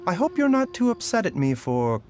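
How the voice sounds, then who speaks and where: An actor playing a calm, composed male doctor in a game cutscene, speaking in a measured, polite, explanatory way. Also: sits high. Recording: game audio with music behind.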